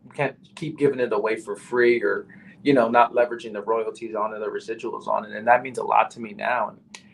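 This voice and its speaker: A man's voice: lowish voice, fairly even intonation, a little fast, gravelly, slight background noise, poor audio. A man with a nasal voice, a battle rapper talking casually as a podcast guest, full of "you know" and "like".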